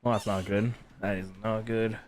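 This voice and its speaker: A man's voice: on the low side, level tone, moderate pace, a little hiss or hum. A man giving casual, muttered playthrough commentary and swearing freely as he streams a game. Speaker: gaming streamer reacting to a game.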